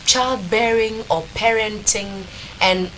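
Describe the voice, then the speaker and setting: A woman's voice: mid-range voice, slow pace, fairly bright tone, poor audio. A Ghanaian-accented woman speaking as a studio panelist in a reflective, motivational way, repeating phrases for emphasis. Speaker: talk show panelist. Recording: faint noise in studio recording.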